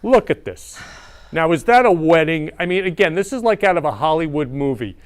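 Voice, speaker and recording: Gushing, high-voiced male talk-show host. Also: expressive, fairly quick, clear, resonant tone. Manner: gushing, lavish with praise, repeats words. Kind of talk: effusive interview chat.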